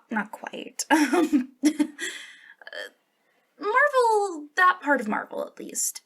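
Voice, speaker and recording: Woman acting as young witch shopkeeper, higher-pitched, creaky edge, soft ASMR delivery, eager, awkward, halting. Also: full character voice. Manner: hesitant, halting, giggly. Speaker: ASMR roleplay voice actor. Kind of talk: ASMR roleplay, softly spoken.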